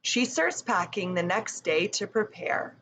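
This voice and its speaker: A woman's voice: medium pitch, sing-song, measured pace, a bit bright, slight background noise, low-quality recording. A woman narrating a children's book as a scripted read-aloud. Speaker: storybook narrator.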